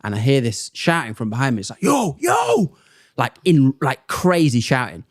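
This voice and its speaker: A young man and comedian, chatting casually as a podcast guest and telling animated stories in which he acts out other people's lines. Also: medium pitch, moderate pace, no room sound, studio quality. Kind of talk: casual chat, storytelling.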